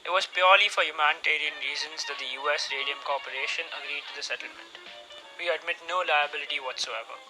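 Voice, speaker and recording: A man's voice: on the high side, quick pace, close to an everyday voice, poor audio. Young man as a formal company president, music behind. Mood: formal, guarded. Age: teenager. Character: formal, smooth male corporate president giving press statement. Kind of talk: stage-style radio drama acting.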